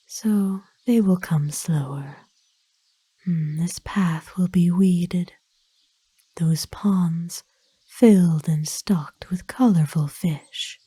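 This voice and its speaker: A woman voicing a kind, ancient aristocratic vampire lady in a whispered ASMR roleplay, speaking softly with formal, soothing, wistful phrasing. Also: lowish voice, dry recording. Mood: soft, admiring. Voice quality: soft, breathy whisper.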